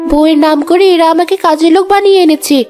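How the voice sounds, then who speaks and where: A meek young village bride with a very high voice, played by a woman. She is sorrowful and submissive, in animated drama style, over clear music. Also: quick pace. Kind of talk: animated horror drama acting.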